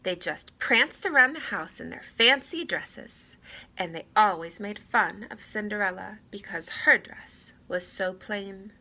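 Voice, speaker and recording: A woman narrating a children's story aloud in an expressive, theatrical way. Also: medium pitch, unhurried, poor audio. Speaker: storybook reader.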